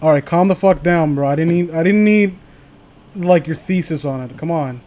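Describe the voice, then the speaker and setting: A man's voice: fairly high pitch, moderate pace, mellow. A man hosting a livestream, chatting casually with friends and viewers in a sardonic, profane way. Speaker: livestream host.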